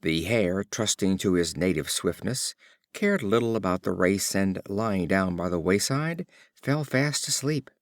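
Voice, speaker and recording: A man reading short fables as an audiobook narrator, with measured, expressive storytelling phrasing. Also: low-pitched, no room sound, clean studio sound. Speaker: audiobook reader.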